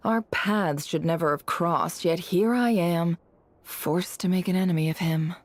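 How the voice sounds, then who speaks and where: An actress voicing a composed, philosophical businesswoman in a video game, measured, formal and wry. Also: fairly low pitch, no room sound. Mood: composed, uneasy.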